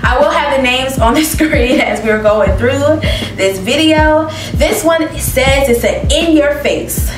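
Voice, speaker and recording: A woman's voice: sits high, slow pace, far from the mic. A young woman casually reviewing perfumes on camera, reading out descriptions and reacting expressively. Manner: expressive, reads descriptions aloud, reacts with laughs. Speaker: beauty vlogger. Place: living room with plants, indoors. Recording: roomy echo, mic set back, music behind.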